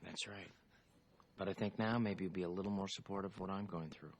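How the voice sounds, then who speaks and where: A man's voice: low voice, fairly even intonation, fairly quick, lo-fi sound. A man playing a self-centred, evasive husband in a comedy film, wheedling and defensive. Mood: smug, placating. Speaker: film character.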